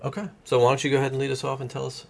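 A man in his forties co-hosting a board game session, relaxed and jokey as he guides the table. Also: medium pitch, very fast, gravelly. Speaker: co-host of board game show. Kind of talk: casual board game chat. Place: home studio set with brick wall, indoors.

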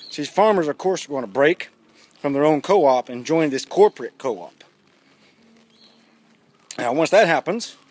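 A man in his thirties giving blunt, sardonic, casual commentary to camera, recorded outdoors on a handheld device at arm's length. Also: fairly high pitch. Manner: blunt, emphatic, sardonic, with swearing. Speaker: vlogger giving news commentary. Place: outdoors, walking in a residential neighborhood. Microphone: phone or camera at arm's length.

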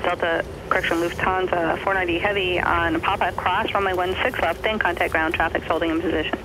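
An American man giving routine clearances over aviation radio as a tower controller. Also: high voice, fairly even intonation, a little fast. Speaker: air traffic tower controller. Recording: very noisy aviation radio over aircraft drone.

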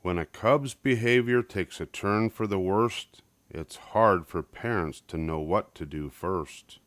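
A man's voice: sits low, slow pace, a bit bright, dry recording. An older man narrating a children's picture book as a scripted story reading.

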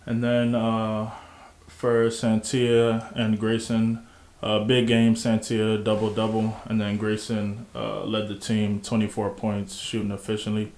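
A man moderating a post-game press conference, asking questions in a measured way with fillers. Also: lowish voice, completely flat delivery, a little mellow. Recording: echoey room.

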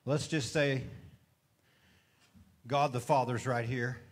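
A man's voice: mid-range voice, steady tone, slow pace. A middle-aged male pastor preaching on a church stage into a handheld mic, often asking the congregation questions and calling for responses. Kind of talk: sermon. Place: church stage with brick wall.

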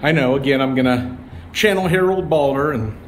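A man narrating a walking tour of a town's streets in a relaxed, musing, meandering way, recorded on a camera mic as he walks. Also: mid-range voice, brisk, poor audio. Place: outdoors on city streets. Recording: outdoor street noise behind. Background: passing cars and street ambience.

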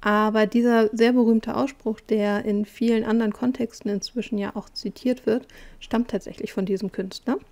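Calm woman presenting an art quiz. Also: fairly high pitch, moderate pace, hardly any pauses. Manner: calm, explanatory, with hesitations.

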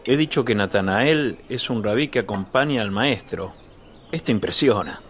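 A man's voice: fairly low pitch, lively intonation, moderate pace, fairly bright tone, a little hiss or hum. A man playing an eager, self-assured young male disciple in a radio drama, talking in a vivacious, insistent way. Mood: eager, assertive.

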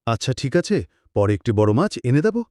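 A man voices a hard-working fisherman father in a village cartoon. His pitch moves freely, and the recording is close and dry. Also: big pitch swings, quick pace, a little mellow, no room sound, close to an everyday voice. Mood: placating. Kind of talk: cartoon voice acting.

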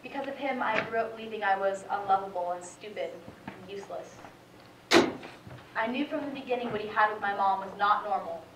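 A woman's voice: mid-range voice, brisk, close to an everyday voice. A teenage woman playing a wounded, wry daughter from an abusive home in a reflective stage monologue. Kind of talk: high school stage monologue. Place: indoor theatre stage. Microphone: far camera. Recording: echoey noisy theatre, distant microphone.

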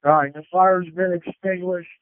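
A man's voice: sits high, fairly even intonation, moderate pace, no echo. A man, a fire officer on scene, giving matter-of-fact reports over two-way radio. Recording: tinny, dry radio transmission.

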